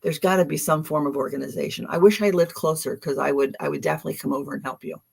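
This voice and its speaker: A woman's voice: deep voice, fairly even intonation, quick pace, creaky, breathy edge. A woman with a Philadelphia accent giving chatty, affectionate tarot card readings on a livestream, with frequent ums and restarts.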